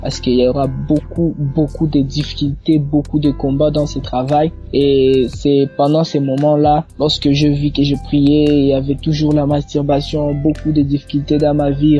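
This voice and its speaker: A young man with an eastern Congolese French accent gives a personal religious testimony, haltingly, repeating words as he goes. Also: on the high side, mellow. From eastern Democratic Republic of the Congo. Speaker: church member giving testimony. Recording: muffled lo-fi audio under faint music. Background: faint music.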